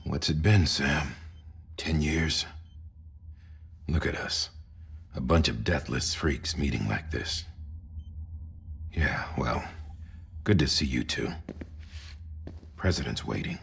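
A stern, weary government director in a cinematic game. His voice is extremely deep and heavily gravelled, and he speaks slowly with grave weight. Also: a bit bright, heavily acted. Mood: grave, wry. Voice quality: gravelly, husky. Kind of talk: cinematic video game acting.

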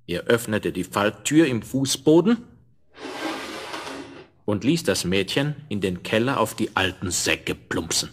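Low-voiced male fairy-tale narrator. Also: animated, unhurried.

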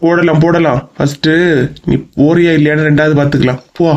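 A man's voice: fairly high pitch, fairly even intonation, brisk, a little mellow, highs cut off, lightly acted. A man voicing a sceptical, teasing, grumbling middle-aged husband in a comedy cartoon, mocking and questioning, with a Kanyakumari Tamil accent. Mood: grumbling, dismissive.

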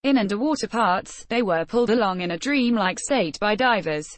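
Female news voice-over, steady read, dry close recording. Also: mid-range voice, normal speed, no echo. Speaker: news reader.

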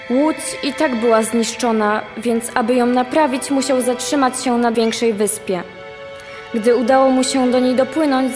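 A woman's voice: fairly high pitch, close to an everyday voice. A young woman narrating a radio play as the storyteller of a sailor's sea voyage. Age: young adult. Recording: long hall reverb under music.

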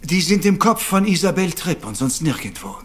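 Shrewd, courteous detective, higher-pitched. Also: male voice, big pitch swings, fairly bright tone. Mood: calm, reasoning. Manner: measured, sprinkles French phrases. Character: courteous, shrewd Belgian male detective, middle-aged. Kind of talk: German crime radio play acting.

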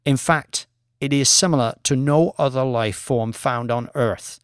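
An earnest scientist played by a man delivers research in a formal, measured lecture for an audiobook. The words are clinical and deliberate, recorded close and dry. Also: medium pitch, clear, resonant tone, no echo, natural voice.

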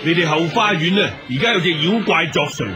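An actor playing a boastful Buddhist monk exorcist in a radio drama, declamatory and self-assured. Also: male voice, fairly high pitch, brisk, natural voice. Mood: confident, boastful. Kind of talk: Cantonese radio drama acting. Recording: music behind the dialogue.

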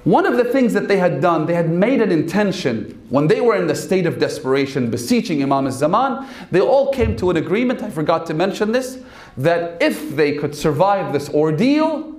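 A man in his thirties preaching a sermon at a stand mic, telling a story with lively gestures and dropping his voice for quoted speech. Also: normal speed. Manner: animated storytelling, quoting in a lowered voice. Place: hall with red banner. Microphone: stand mic in front. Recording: echoey hall.

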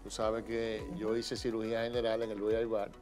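Older man, doctor co-host, explains things, lapel mic, music clear underneath. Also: on the low side, little pitch movement, brisk, a little mellow. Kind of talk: casual interview chat. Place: TV studio set.

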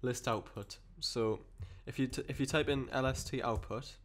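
A man with a cold and a croaky voice, explaining code step by step in a rambling way with many fillers and restarts. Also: medium pitch, normal speed. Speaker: programming tutor. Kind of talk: screen-recorded coding tutorial.

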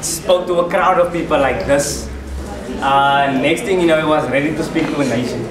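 A man's voice: a little high, moderate pace. Chatty young South African man, roomy hall. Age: young adult. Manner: chatty, laughing, restarts often. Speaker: student speaker. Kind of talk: casual speech to a group. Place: large hall with seated students. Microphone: far camera. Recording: echoey hall from far off, crowd.

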